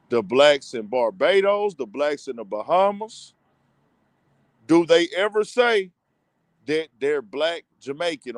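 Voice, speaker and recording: A man's voice: fairly high pitch, dry recording. A man from the United States, hosting a casual commentary monologue and asking viewers rhetorical questions. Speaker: commentary show host.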